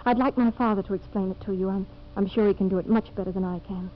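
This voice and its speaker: A woman's voice: medium pitch, steady tone, fast, lightly acted. An actress playing a principled, well-spoken young woman, sincere and firm, in a 1950s radio drama. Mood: earnest. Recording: noisy, muffled vintage radio recording.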